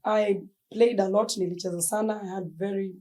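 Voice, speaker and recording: A woman's voice: mid-range voice, a little fast. A young Kenyan woman with a Kenyan accent, a podcast guest in casual chat, easily mixing slang and English. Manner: relaxed, mixes Swahili slang and English.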